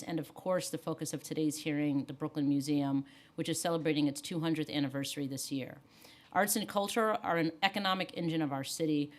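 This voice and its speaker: A woman council member delivering a prepared opening statement in a steady, formal advocacy tone, speaking into a desk microphone in a hearing room. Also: deep voice.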